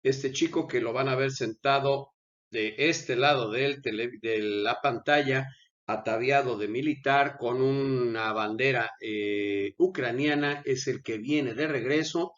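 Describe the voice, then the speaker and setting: A man's voice: medium pitch, a little slow, a bit bright. Unwell man, lo-fi commentary. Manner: earnest, pleading requests to viewers. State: unwell, with coughing fits. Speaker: channel host. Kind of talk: conversational commentary over a video.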